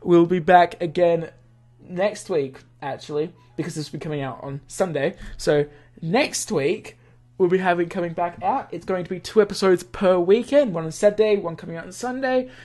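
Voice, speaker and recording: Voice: mid-range voice, moderate pace, a little mellow. A let's play host narrates and comments on a visual novel. The delivery rambles and corrects itself along the way, with music playing behind the voice.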